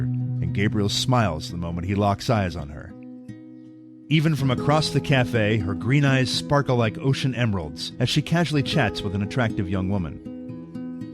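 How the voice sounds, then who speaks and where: A man reads dramatic narration for an audio drama. His mid-pitched voice moves expressively and builds suspense. Music plays clearly under the words.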